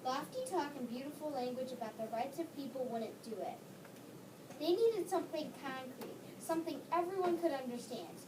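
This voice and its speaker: A woman reading narration in a readers theater history play, steady and informative. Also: high voice, normal speed. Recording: distant mic, clear background noise.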